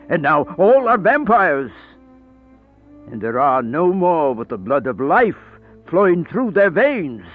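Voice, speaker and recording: An actor playing an aged, sickly male vampire, bitter and grandiose, in theatrical, declamatory radio horror style. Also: mid-range voice. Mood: weary, resigned. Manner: theatrical, declamatory, drawn-out phrasing. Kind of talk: radio horror play acting. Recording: thin phone-like band-limited audio with music.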